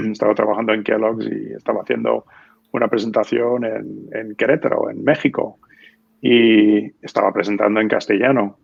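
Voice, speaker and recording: A man's voice: on the low side, gravelly, breathy edge, no room sound, dull audio. A man in his fifties from the United States, a guest in a conversational interview, telling stories in an easy way with many fillers and restarts.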